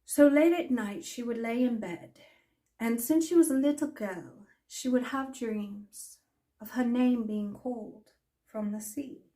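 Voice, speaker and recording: A woman playing an imperious, mischievous older magical storyteller, telling fantasy tales in a theatrical, haughty way with deliberate pauses. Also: on the high side, warm tone, lightly acted. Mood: mysterious. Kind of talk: in-character fantasy storytelling roleplay.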